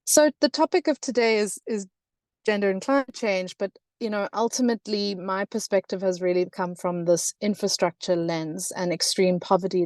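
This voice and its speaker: A woman's voice: lowish voice, mellow, no room sound. A South African woman in her thirties presenting in a webinar, talking with many fillers and restarts. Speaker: webinar guest speaker.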